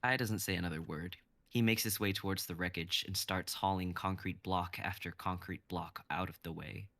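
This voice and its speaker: Brief: male narrator, low gravelly voice, even script reading, dry sound. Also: normal speed, no echo. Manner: even, descriptive, with stage directions.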